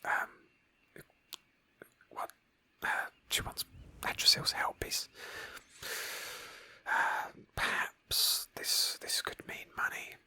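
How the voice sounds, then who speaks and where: A woman whispering breathily in a hushed, thoughtful ASMR style as she narrates a roleplay game playthrough as its host. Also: drawn-out words, pauses often, no echo.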